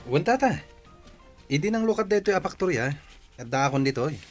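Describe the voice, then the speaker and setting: Higher-voiced, polite young Filipino workman. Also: very animated, a little fast, faint music behind. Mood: polite, modest. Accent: Ilocano. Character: polite, helpful young male factory worker. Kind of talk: Ilocano radio drama acting.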